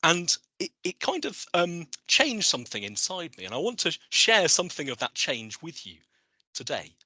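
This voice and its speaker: A man's voice: fairly high pitch, moderate pace, no room sound. A man hosting an online coaching webinar, talking with enthusiasm and often restarting his phrases. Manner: enthusiastic, animated, with frequent restarts. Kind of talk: presenting a webinar with slides. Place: online video call.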